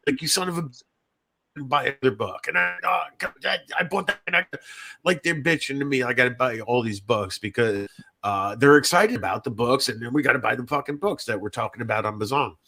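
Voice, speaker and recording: Bright-voiced man, rambling podcast host. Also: medium pitch, a little fast. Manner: rambling, repetitive, sweary, self-deprecating. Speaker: podcast host and indie fiction writer. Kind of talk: casual podcast chat.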